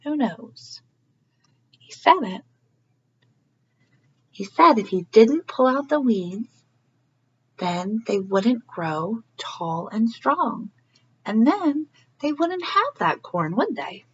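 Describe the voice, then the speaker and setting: A woman's voice: a little high, sing-song, slow, long pauses, highs cut off, a little hiss or hum. A woman hosting a storybook read-aloud for young children, asking questions and explaining as she goes. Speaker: early learning read-aloud host.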